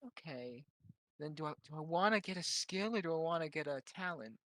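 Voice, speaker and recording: Male tabletop player, fairly high voice, casual chat, very dry sound. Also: animated, brisk, fairly bright tone, no echo.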